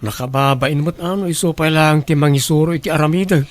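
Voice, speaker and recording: A man's voice: fairly high pitch, quick pace, no echo, close to an everyday voice. An actor playing a humble, insecure young working man in love, earnest and hesitant, in an Ilocano radio drama. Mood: worried.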